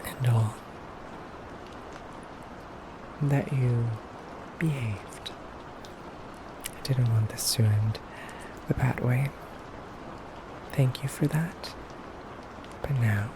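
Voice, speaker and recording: Man playing a slow, soft-spoken vampire interrogator. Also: medium pitch, noisy background. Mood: cool, courteous. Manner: soft, deliberate, pausing, often dropping to a whisper. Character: beautiful femboy vampire interrogator, controlled, stern yet courteous. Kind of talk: ASMR roleplay.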